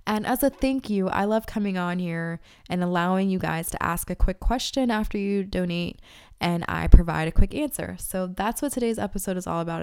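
A woman's voice: on the low side, a little mellow, smooth. A woman hosting a podcast, congested from allergies, giving upbeat, direct advice. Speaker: podcast host. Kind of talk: casual podcast talk and read ads.

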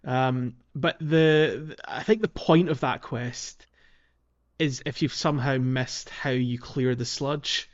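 A man with a mid-pitched voice chats casually as co-host of a gaming podcast. His microphone sound is a little dull and lo-fi. The delivery is conversational and unscripted. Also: steady tone, takes it slowly.

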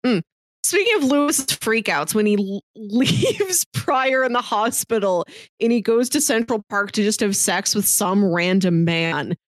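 A woman's voice: medium pitch, animated, unhurried, no echo. A woman co-hosting a podcast in a casual, conversational discussion style. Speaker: podcast co-host. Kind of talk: casual chat, film discussion.

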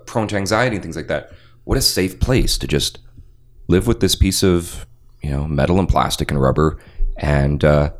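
A young man with a deep bass-baritone voice, telling stories animatedly as a guest in a casual podcast interview. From Canada. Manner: animated storyteller, acts out quotes. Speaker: voice actor guest.

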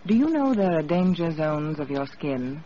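A man's voice: fairly high pitch, very animated, normal speed, mellow. A man reading an old radio commercial in an earnest, persuasive announcer's voice. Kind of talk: vintage radio commercial read. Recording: dull old radio broadcast with hiss.

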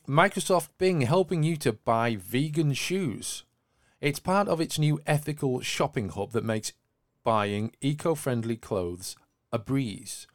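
A man's voice: mid-range voice, dry recording, studio quality. A man hosting a tech news podcast, presenting items in a semi-scripted way with wry, flippant asides.

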